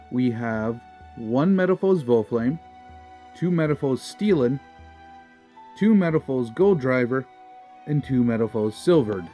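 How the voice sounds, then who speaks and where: Young guy a bit stuffy from a cold, mellow voice, faint music behind, explaining his card deck. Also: medium pitch. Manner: casual, methodical, lots of fillers like kinda. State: slightly nasal from a cold. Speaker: deck profile presenter.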